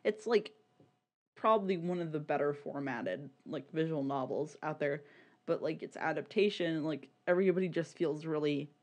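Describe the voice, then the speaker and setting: A podcast co-host chatting casually about media in a rambling, halting, sweary way, often repeating words. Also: mid-range voice, a little mellow. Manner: informal, sweary.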